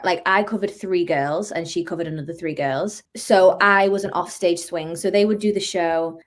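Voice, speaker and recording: A woman in her twenties with a Northern English accent, talking casually and animatedly as an interview guest. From North of England. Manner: chatty, animated storytelling, acts out quotes in a higher voice. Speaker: guest, musical theatre performer.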